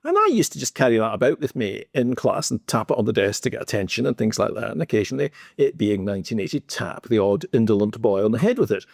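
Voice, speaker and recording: A man's voice: on the low side, quick pace, gravelly, hardly any pauses, no echo, clean studio sound. A man with a Scottish accent co-hosting a podcast, telling wry stories from his teaching days in a casual chat. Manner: animated, wry, teasing. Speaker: podcast co-host, former teacher.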